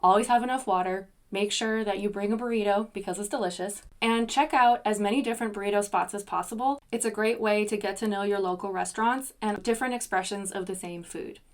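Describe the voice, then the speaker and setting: A woman's voice: mid-range voice, steady tone, brisk, hardly any pauses. A young adult woman hosting a solo podcast, chatty, with self-deprecating asides. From United States. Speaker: podcast host.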